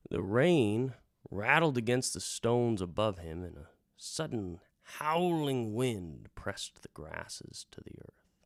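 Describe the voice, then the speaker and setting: A man telling a fantasy tale as a folksy bard, in a florid, theatrical manner with hushed, dramatic emphasis. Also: lowish voice, big pitch swings, takes it slowly, no room sound, studio quality. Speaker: host, storytelling bard. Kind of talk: theatrical first-person fantasy storytelling, radio drama.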